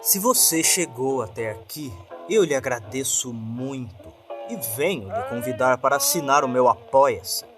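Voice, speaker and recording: Brazilian guy doing podcast outro, lively intonation, music playing behind him. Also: medium pitch, measured pace. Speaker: podcast narrator and host. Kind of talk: scripted outro appeal to listeners. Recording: clear music bed behind voice.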